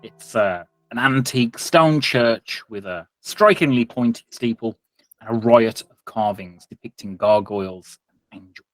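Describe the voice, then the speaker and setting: A man's voice: medium pitch, unhurried, no room sound, low-quality recording. A British man hosting and narrating a tabletop roleplaying game as game master, feeling his way through sentences with self-corrections. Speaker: game master and podcast host.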